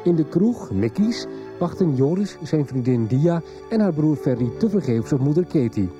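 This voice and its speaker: A male narrator delivers a scripted radio soap recap. His pitch swings widely and dramatically, and music plays clearly underneath him. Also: very animated, normal speed. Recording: 1990s radio broadcast with music behind. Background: loud music bed.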